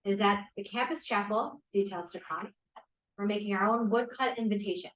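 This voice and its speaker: A woman reading the part of a confident, principled young scientist in a period drama, measured and earnest. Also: medium pitch, recorded from a distance, natural voice. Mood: earnest, firm. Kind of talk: table read of a period drama. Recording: echoey room.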